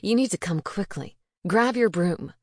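A woman's voice: medium pitch, expressive, moderate pace, no echo, clean studio sound, lightly acted. A woman voicing a bossy male owl, a witch's familiar in an audiobook, insistent and commanding. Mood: urgent.

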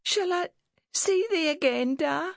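A woman voicing a meek, pleading little girl, speaking softly and haltingly. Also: extremely high pitch, very animated, dry recording, studio quality, pitched above the performer's own voice, full character voice. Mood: timid, pleading. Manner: timid, faltering, soft.

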